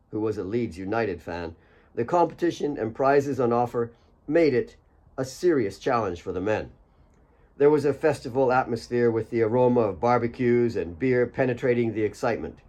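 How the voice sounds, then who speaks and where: Medium-pitched man narrating an audiobook. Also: lively intonation, normal speed, a little mellow. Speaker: author reading own audiobook. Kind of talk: scripted fiction narration.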